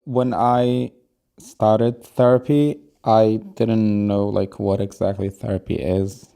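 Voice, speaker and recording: A young man who grew up in upstate New York with Yiddish as his first language, reflecting thoughtfully on his life as an interview guest, pausing often. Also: on the low side, steady tone, gravelly, mellow. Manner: slow, thoughtful, with pauses and fillers. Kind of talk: reflective personal storytelling.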